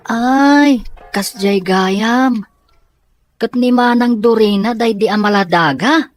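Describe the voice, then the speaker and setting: A woman's voice: fairly high pitch, takes it slowly, soft background music. A woman playing an earnest, inquisitive young son in a radio-style family drama, questioning and respectful. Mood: saddened.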